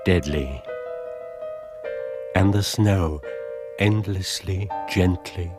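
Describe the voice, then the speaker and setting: An actor narrating a radio drama as a grave, measured storyteller. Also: male voice, low-pitched, over music. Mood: hushed gravity.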